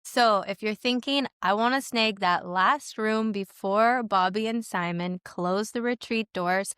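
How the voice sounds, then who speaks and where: A woman from Canada hosting a podcast, enthusiastic and gushing, her voice a bit raspy from a cold. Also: medium pitch, fairly bright tone, no room sound, studio quality. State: getting over a cold, raspy. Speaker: podcast host. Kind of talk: podcast intro and interview. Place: home in Sweden.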